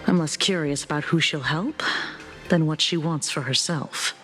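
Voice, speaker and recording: An actress voicing a shrewd public official in a video game, formal, measured and coolly teasing. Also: female voice, deep voice, fairly bright tone, long reverb tail, soft background music. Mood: cool, explanatory. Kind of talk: video game story dialogue.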